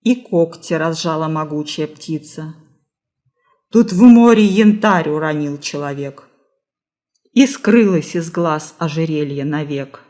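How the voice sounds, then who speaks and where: A woman reading a verse folk tale aloud in a measured, dramatic storytelling manner. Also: on the low side, a little mellow. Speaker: reader, library staff.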